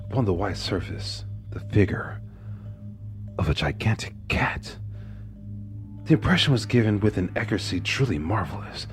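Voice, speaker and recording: A man narrating a horror story in the first person, intense, dropping to hushed whispers and rising into anguished outbursts. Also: low-pitched. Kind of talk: dramatic horror story reading.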